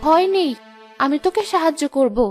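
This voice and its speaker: Woman playing a high-voiced caring fairy sister. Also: moderate pace, a little mellow, soft background music, close to an everyday voice. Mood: reassuring. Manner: reassuring, instructing. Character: caring elder sister fairy helping an exile. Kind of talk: cartoon fairy-tale acting.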